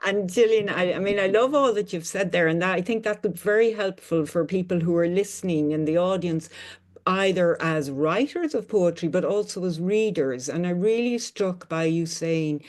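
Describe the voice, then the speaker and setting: A woman interviewing a poet, warm and encouraging, often affirming what she hears. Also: fairly low pitch, animated, normal speed. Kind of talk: book talk interview.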